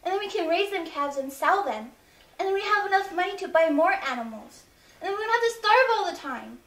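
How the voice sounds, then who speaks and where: High-voiced indignant woman, roomy. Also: animated, fairly quick, a bit bright. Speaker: performer in a student comedy. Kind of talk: comic acting.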